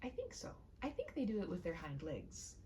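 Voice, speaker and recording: A woman's voice: lowish voice, wildly swooping pitch, normal speed, mellow, slight background noise. A woman voicing a mild, thoughtful, optimistic shopkeeper wife who speaks hesitantly in a comic story. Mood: puzzled, thoughtful.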